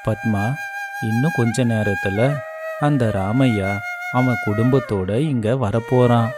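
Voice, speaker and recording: A man voicing a patient, kindly male god in a storybook cartoon, speaking in a gentle, measured way. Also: mid-range voice, fairly even intonation, close to an everyday voice. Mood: calm. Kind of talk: animated storybook voice acting. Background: flute music.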